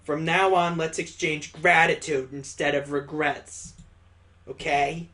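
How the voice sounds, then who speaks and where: A man's voice: on the high side, steady tone, slow pace, pushed and bright. A man voicing a plucky young daughter in a visual novel, frank and affectionately scolding. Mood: fond, earnest. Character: plucky, teasing young daughter of a weary father.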